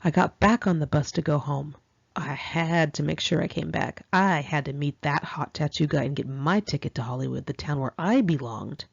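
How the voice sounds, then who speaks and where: An American woman reading her own memoir aloud in an expressive, confiding, conversational storytelling style, with girlish slang. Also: low-pitched, normal speed, vocal fry, slightly rough, dry recording, dull audio. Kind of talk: first-person audiobook narration, conversational and dramatic.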